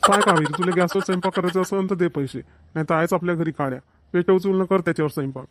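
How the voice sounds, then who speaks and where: Man as high-pitched broke village husband. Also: racing, background noise, lightly acted. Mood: coaxing. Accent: Marathi, Vidarbha. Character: broke village husband and father, scolding yet coaxing. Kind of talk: cartoon comedy dialogue.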